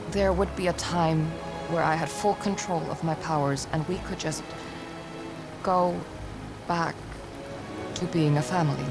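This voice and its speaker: Guarded, low-key young heroine. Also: female voice. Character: guarded young heroine with powers, dry and resolute. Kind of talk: video game cinematic acting.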